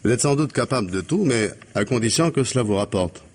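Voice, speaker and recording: An actor playing a stern, implacable police investigator in 1950s French radio mystery style, commanding and deliberate, with a husky voice. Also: male voice, medium pitch, lively intonation, darkish tone, natural voice. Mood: coldly triumphant. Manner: commanding, deliberate, articulate, dictating orders.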